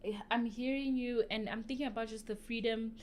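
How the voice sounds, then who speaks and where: A woman hosting a podcast interview, speaking softly and reflectively as she affirms her guest. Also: on the high side, steady tone, fairly quick, a little hiss or hum. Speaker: podcast host. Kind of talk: interview conversation.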